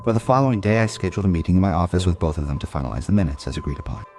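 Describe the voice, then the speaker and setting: A man's voice: low-pitched, lively intonation, very fast. A man playing a dogged police investigator who narrates his case in a dry, matter-of-fact way. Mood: measured, matter-of-fact. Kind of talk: scripted crime audio drama narration. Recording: music under the voice. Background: music.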